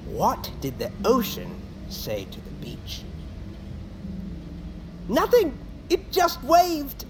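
Goofy young engineer, slow-paced, swooping pitch, earnest joker, audio drama, rumbling vessel noise. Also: male voice, full character voice. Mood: excited, pleading. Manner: earnest, jokey, excitable. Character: young engineering prodigy, goofy, eager would-be comedian. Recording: noisy vessel ambience with low rumble.

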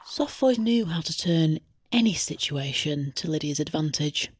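Female drama narrator, husky voice, storybook telling, very dry close sound. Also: low voice, lively intonation, normal speed, dry recording. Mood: measured. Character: wry storybook narrator of a young woman's life. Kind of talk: scripted narration.

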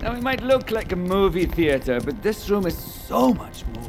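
An actor playing a smooth, commanding male tech visionary who presents like a showman in an audio drama. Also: high-pitched, big pitch swings, normal speed. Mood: showmanlike. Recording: dramatic music score behind.

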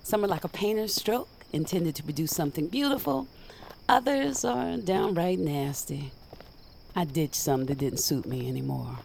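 A woman's voice: low-pitched, lively intonation, moderate pace, vocal fry, a little mellow, slight background noise. An actress playing a seasoned magic user in an audio drama, a frank and protective mentor who teases as she instructs. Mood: instructive.

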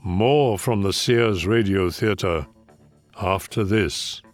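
Male announcer, very deep, slow formal read, faint music. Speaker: radio announcer.

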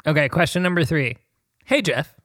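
A man's voice: fairly high pitch, animated, moderate pace, fairly bright tone, no echo, studio quality. An American man co-hosting a podcast, talking and reading listener questions aloud.

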